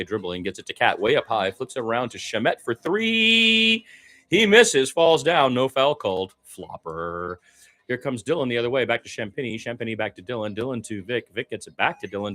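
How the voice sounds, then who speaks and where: A man gives live basketball commentary in a radio play-by-play style. He is informal and opinionated, with a mid-range voice. His delivery moves between muttered asides and loud, excited calls. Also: medium pitch. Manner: informal, opinionated, mildly profane.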